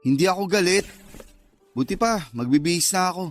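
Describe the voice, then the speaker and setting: Cocky Filipino secret lover. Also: male voice, sits high, expressive, a little fast, soft background music. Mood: irritated. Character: cocky, teasing, easily wounded secret male lover. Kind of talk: Tagalog radio love-story drama acting.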